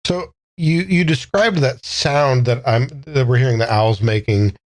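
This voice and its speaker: A man playing a tabletop game, talking through his character's choices in a halting, careful way. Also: mid-range voice. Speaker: player in a tabletop game podcast. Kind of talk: thoughtful improvised game-table talk.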